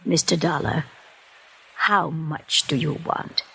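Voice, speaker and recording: An actress playing a wealthy, scheming older woman who feigns sweet innocence and pleads in a genteel, breathy voice, in a 1950s radio drama. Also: low voice, steady tone, takes it slowly, sharp tone, heavily acted. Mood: pleading.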